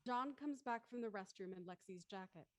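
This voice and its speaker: A woman narrates a scripted story in a mid-pitched voice. The sound is dry and close. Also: fairly quick, no room sound.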